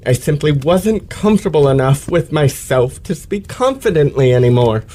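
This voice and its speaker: A man's voice: a little high, sing-song, moderate pace, mellow. A man playing a pompous, self-pitying male lecturer with florid, precise elocution in an old-fashioned radio play. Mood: aggrieved, theatrical.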